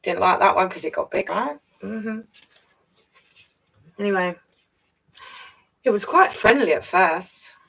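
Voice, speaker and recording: A woman's voice: mid-range voice, expressive, takes it slowly, gravelly, pauses often, a bit bright, breathy edge, recorded from a distance. A woman reading a picture book to young children, playfully breaking off for chatty asides and questions to the listeners. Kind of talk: read-aloud story time with chatty asides.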